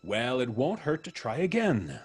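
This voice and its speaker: Old-time radio mystery visitor: husky, animated intonation, polite and probing, deliberate, a needling threat underneath. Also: male voice, medium pitch, exaggerated voice. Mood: sly, menacing. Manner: deliberate, musing with frequent hums, needling. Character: polite, probing, quietly menacing male visitor.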